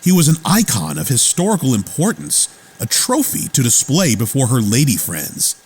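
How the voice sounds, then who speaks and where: An actor playing a loyal, watchful lab assistant who narrates earnestly in a radio theater drama. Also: male voice, medium pitch, wildly swooping pitch, moderate pace, a little mellow, breathy edge, full character voice. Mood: wry. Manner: earnest, plain storytelling. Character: loyal, watchful male lab assistant recounting his boss's life. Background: music.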